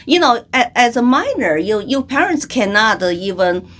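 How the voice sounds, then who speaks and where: A woman's voice: fairly high pitch, very animated, moderate pace, a bit bright. Earnest woman from China, many fillers. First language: Chinese. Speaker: guest, congressional candidate. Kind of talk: interview conversation.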